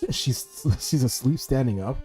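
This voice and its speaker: A man's voice: mid-range voice, a little slow, mellow. A man doing casual, slangy gameplay commentary as a streamer, repeating himself as he thinks. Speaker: game streamer. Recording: faint game music behind. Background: game music.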